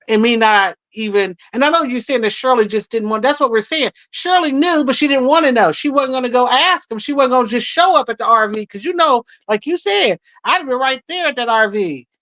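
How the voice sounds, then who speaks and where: A woman's voice: on the high side, a bit bright, no room sound. Insistent American woman, muffled lo-fi sound. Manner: insistent, colloquial, restates her point. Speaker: panelist on a live stream. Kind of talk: casual debate. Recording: dull lo-fi stream audio.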